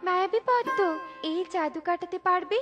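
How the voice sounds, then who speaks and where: Woman voicing a very high-pitched expressive garden fairy. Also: normal speed, muffled recording, over loud music. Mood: apologetic, helpful. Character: possessive garden fairy, stern yet soft-hearted. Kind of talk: children's cartoon dialogue.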